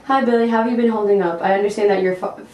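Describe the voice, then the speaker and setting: A woman's voice: mid-range voice, steady tone, racing, a little mellow. A woman reporter delivering a scripted amateur news report. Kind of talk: scripted amateur mock newscast. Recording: echoey room, distant mic.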